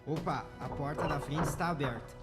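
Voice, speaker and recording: Man playing excitable young adventurer, high voice, very animated, radio drama, music behind. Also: fairly quick, close to an everyday voice. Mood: surprised. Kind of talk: amateur radio drama acting.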